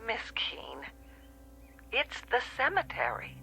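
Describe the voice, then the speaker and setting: An actress playing a patient, matter-of-fact telephone operator in a vintage television drama, heard as if over the phone. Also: female voice, a little high, huge pitch swings, vocal fry, sharp tone, soft background music, full character voice. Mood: gently firm. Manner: measured, polite, apologetic.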